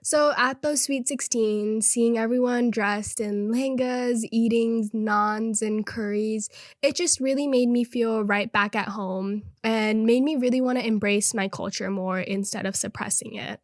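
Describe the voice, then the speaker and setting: A woman's voice: a little high, fairly even intonation, a little slow, dry recording, clean studio sound. Teenage girl co-hosting a student podcast. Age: teens. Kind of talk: casual conversational podcast chat.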